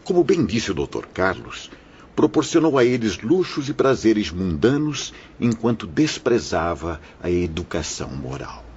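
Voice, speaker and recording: An actor playing a kindly, grave priest in an audio drama, speaking in a measured, paternal, sermonizing way. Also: male voice, fairly low pitch, sing-song, a little mellow, exaggerated voice. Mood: admonishing. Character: kindly, grave priest guiding troubled souls. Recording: muffled audio with clear background noise.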